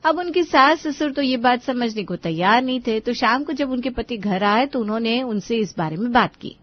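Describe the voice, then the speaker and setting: A woman's voice: a little high, animated, normal speed, no room sound. Woman narrator, dry slightly dull sound. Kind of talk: scripted story narration.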